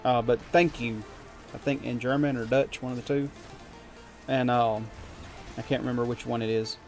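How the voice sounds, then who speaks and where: A man from the United States rambles to his stream viewers in an easygoing, self-mocking way, breaking into little chuckles. His voice sits at an ordinary middle pitch, and faint music plays underneath. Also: steady tone, moderate pace. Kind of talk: casual chat with viewers while gaming.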